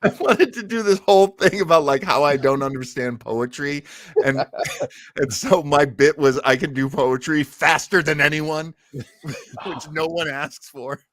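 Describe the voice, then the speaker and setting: A man's voice: a little high, unhurried, fairly bright tone, dry recording. A man hosting an old time radio listening show in casual livestream chat, with an effusive, jokey, informal manner.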